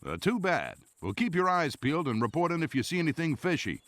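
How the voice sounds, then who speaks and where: A chatty military superior in a game cutscene. His voice is on the high side and swings in a lively, breezy way. The sound is close and dry. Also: brisk, dry recording. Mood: breezy, friendly. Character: chatty, easygoing male army superior. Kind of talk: scripted game cutscene dialogue.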